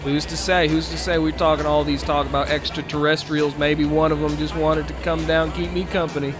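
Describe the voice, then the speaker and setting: Man putting on a high-voiced folksy trucker. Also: flat delivery, over music, natural voice. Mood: earnest wonder. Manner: folksy, rambling, halting storyteller. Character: folksy male trucker telling tall road tales. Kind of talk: improvised trucker roleplay over CB radio.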